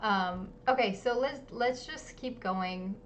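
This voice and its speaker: A woman hosting a live-streamed artist interview, talking casually with lots of ums and quick apologies. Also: on the high side, slight background noise. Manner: chatty, many ums, apologetic. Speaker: livestream interview host. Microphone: home streaming setup.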